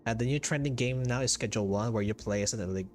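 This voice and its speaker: A man with a Filipino accent chatting casually as a game streamer, haltingly and often repeating his phrases, over game music. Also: medium pitch. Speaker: game livestreamer. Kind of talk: casual livestream chat. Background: video game music.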